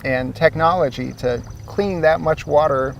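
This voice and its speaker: A man's voice: fairly high pitch, unhurried, vocal fry, distant mic, slight background noise. A man from Ohio, a guest, explains how his city's water supply works in an easygoing way with some fillers, speaking outdoors by a lake. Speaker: source water protection coordinator, guest. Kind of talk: explanatory interview answers.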